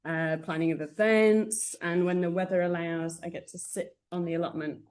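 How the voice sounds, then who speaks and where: A woman's voice: on the low side, fairly even intonation, normal speed, darkish tone. A woman answering as an interview guest in an easygoing, informal way. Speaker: arts programme associate, interview guest.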